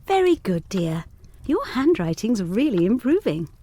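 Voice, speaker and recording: A woman playing an earnest young governess in a gothic period audio drama, speaking in formal, measured diction. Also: medium pitch, big pitch swings, warm tone. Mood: kindly. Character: young pretty governess, earnest, questioning, protective.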